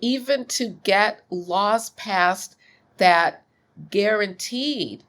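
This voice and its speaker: A woman in her sixties, a scholar being interviewed, speaking in a measured, thoughtful way. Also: mid-range voice. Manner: measured, thoughtful, occasional soft laughs. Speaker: guest scholar. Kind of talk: interview conversation.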